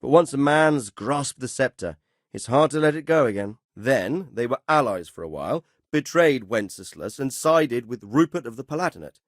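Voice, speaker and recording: A man playing a measured, fatherly but stern middle-aged medieval lord in a video game, speaking formally and with authority. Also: medium pitch, expressive, no room sound, studio quality, close to an everyday voice. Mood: measured, explaining. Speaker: lord giving orders and counsel. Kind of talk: dramatic video game dialogue.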